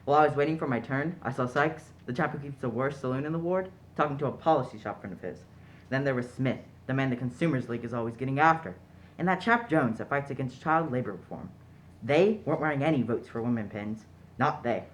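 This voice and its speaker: Man playing a jolly, foppish young gentleman. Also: mid-range voice, fast talker, slight background noise, lightly acted. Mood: chatty, proud. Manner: jolly, foppish, self-deprecating. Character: genial, naive upper-class young gentleman suitor. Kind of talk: stage-style radio play acting.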